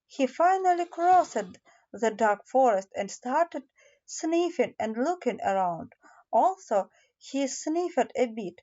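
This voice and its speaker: A woman narrating a children's fairy tale in a deliberate storybook manner, with small hesitations. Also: high-pitched, sing-song, dark tone, highs cut off. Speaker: audiobook narrator.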